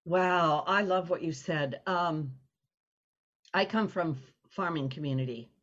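A woman's voice: lowish voice, measured pace, a bit bright. A woman hosting a casual interview, effusive and rambling as she talks, on slightly lo-fi audio. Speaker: interview host.

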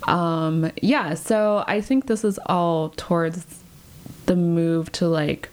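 A woman's voice: lowish voice, slow pace, darkish tone. A woman in her thirties from Texas, an artist talking casually in an interview with many fillers. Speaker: artist guest.

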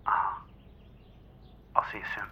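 An actor playing a controlling, menacing boss in an audio drama, curt and commanding through a telephone filter. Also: male voice, moderate pace, highs cut off. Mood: cool, commanding.

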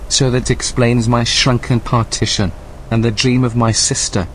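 A stiff and officious male AI overseer, acted by a man, talks in measured, formal phrases with careful wording. His voice sits at a middling pitch in a scripted audio drama, with faint background noise behind it. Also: little pitch movement, natural voice, rough recording. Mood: stern warning.

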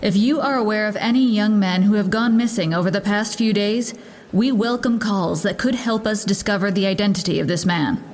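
A woman acting as a police lieutenant in an audio drama, American, stern and short on patience. She delivers her words in a formal, official, authoritative way at a middling pitch. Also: a little fast, lightly acted. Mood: formal, composed. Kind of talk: audio drama press briefing.

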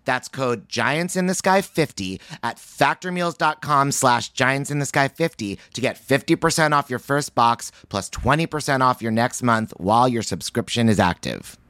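A man's voice: mid-range voice, lively intonation, normal speed, hardly any pauses, sharp tone, dry recording, studio quality. A man hosting a podcast, reading an ad and then asking loose, conversational questions. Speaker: podcast host. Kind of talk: ad read and casual interview questions.

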